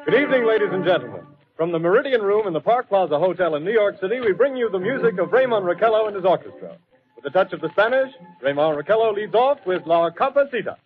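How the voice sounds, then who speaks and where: A polished American man announcing a dance band on 1930s radio. He is showy and quick, with music playing behind him on a thin, tinny recording. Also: sits high, lively intonation. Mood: upbeat. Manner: showy, formal introductions.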